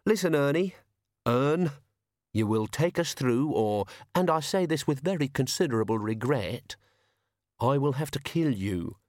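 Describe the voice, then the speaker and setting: A man's voice: mid-range voice, expressive, a little slow, darkish tone, no room sound, clean studio sound, voice pushed up, lightly acted. A man voicing a polite, menacing young upper-class killer in an audiobook. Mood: silky menace. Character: genial, menacing young male toff assassin.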